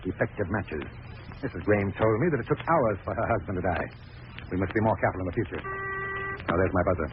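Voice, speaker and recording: A man's voice: low-pitched, fast talker, natural voice. A man playing a suave crooked radio-drama doctor. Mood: brisk, commanding. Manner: suave, polished, patronising. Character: smooth, crooked male doctor, probing and condescending. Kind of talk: 1940s radio drama acting. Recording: noisy narrow-band vintage radio recording.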